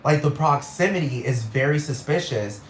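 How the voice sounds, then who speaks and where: A man's voice: a little high, expressive, normal speed, slight background noise. Rambling Puerto Rican man ranting solo. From Puerto Rico, raised in Texas. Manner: rambling, profane, keeps asking "do you understand". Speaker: podcast host. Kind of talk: solo podcast rant. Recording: roomy room with faint ambience.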